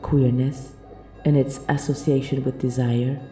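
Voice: medium pitch, level tone, a little slow, warm tone, low-quality recording. A narrator reading a literary first-person audio play in an intimate, matter-of-fact way. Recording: music behind the voice. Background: music.

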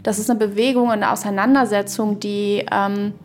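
A woman's voice: mid-range voice, fairly even intonation, normal speed, a little hiss or hum. A woman co-hosting a podcast in casual discussion, searching for words, rambling and trailing off mid-thought. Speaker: podcast co-host. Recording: voice over background music. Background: music.

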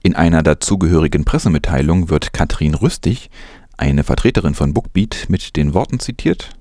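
A man's voice: extremely low pitch, fairly quick, mellow, no echo, clean studio sound. A man presenting news as scripted narration.

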